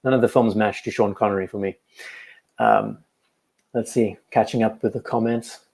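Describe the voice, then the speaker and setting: A young man with a Cape Town South African accent, hosting a casual livestream and chatting in a relaxed, enthusiastic way, thinking aloud as he goes. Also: lowish voice, normal speed, creaky. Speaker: livestream host.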